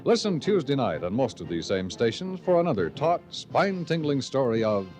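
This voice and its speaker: A man's voice: medium pitch, big pitch swings, moderate pace. An American man announcing in polished, dramatic 1950s radio style. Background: music.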